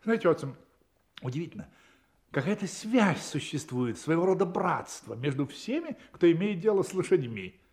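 An actor playing a self-assured, wry gentleman detective in a radio play, with a brisk, amused and confident manner. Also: a little high, big pitch swings. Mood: lively recounting.

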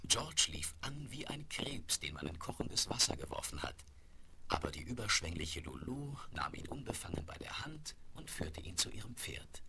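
A man's voice: deep voice, very animated, moderate pace, vocal fry, whispered, exaggerated voice. A man narrating a scripted children's story as the narrator of a 1970s radio play. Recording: noisy, clicking vinyl record rip.

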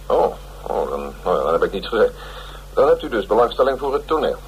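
An actor playing a pompous, self-pitying older theatre director in a radio drama, speechifying. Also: male voice, fairly low pitch, big pitch swings, brisk, a little hiss or hum, rough recording. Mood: bitter, wounded.

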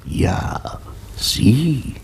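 Gravelly, very slow, world-weary man. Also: fairly low pitch, sing-song, whispered, full character voice. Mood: melancholy. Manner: ponderous, melancholy. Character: world-weary man philosophising gloomily in a tavern. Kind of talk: 1960s German radio drama acting.

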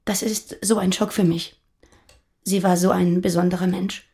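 Casting: female actor as guarded, reserved woman, very mellow dark tone, restrained naturalistic radio-play delivery. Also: fairly low pitch, level tone, moderate pace, lightly acted. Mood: shaken, restrained. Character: wary, reserved woman living alone under surveillance. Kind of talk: naturalistic radio drama acting.

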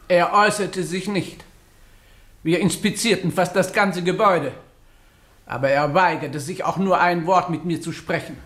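A woman's voice: lowish voice, fairly even intonation, moderate pace, fairly bright tone, roomy sound, natural voice. A woman acting in a German radio drama as a prim, self-righteous witness, speaking formally and precisely with offended dignity. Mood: formal recounting.

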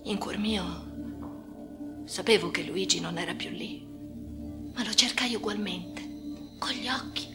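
Casting: a troubled, defiant young woman, intense radio-drama monologue, medium pitch, clear music underneath. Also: slow, pauses often. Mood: wistful, hushed. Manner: intense, swinging between hushed and agitated. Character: troubled, defiant young woman recounting her hospital confinement.

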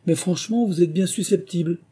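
A man's voice: sits high, brisk, dark tone, husky, pitched above the performer's own voice. A man voicing a polite, naive little girl in a storybook, earnest and eager to please. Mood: apologetic. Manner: earnest, conciliatory.

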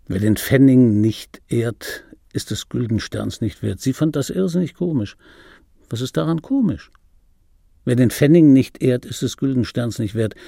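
Raspy vain middle-aged man, dark mellow tone, aggrieved, insistent, self-justifying, radio play monologue, close dry mic. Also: medium pitch, no room sound. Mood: brooding, defensive. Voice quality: raspy, gravelly. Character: aggrieved, vain male film-dubbing actor reminiscing. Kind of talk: German radio drama monologue. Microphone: close studio microphone, dry.

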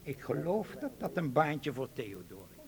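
An actor playing a cold, ruthless spy boss in a radio drama, with a commanding, menacing, deliberate delivery. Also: male voice, on the high side, sing-song. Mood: calculating. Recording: hall-like long reverb.

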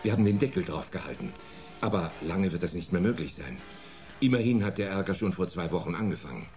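An actor playing a formal, harried security chief in a German radio play, earnest, indignant and emphatic. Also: male voice, low voice, animated, fast talker, darkish tone, faint music behind. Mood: formal, urgent.

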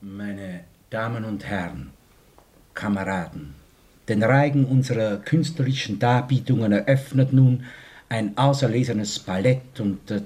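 An actor playing a formal, genial master of ceremonies in a radio play, announcing in a ceremonious, flowery way. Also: male voice, lowish voice, a little mellow.

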